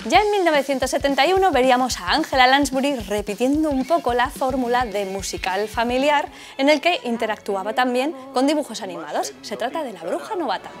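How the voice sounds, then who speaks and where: A woman in her forties with a Castilian Spanish accent, presenting a scripted film video in a chatty, expressive way. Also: high voice, brisk. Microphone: lapel mic. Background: music.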